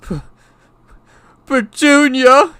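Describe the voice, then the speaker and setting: Man acting a forceful, blustering bully of an uncle. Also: mid-range voice, lively intonation, slow pace, no echo, voice pushed up, heavily acted. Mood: panicky. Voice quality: croaking, forceful. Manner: sneering, snapping, roaring. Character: blustering, bullying, middle-aged uncle, angry and panicky. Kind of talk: audiobook character voice.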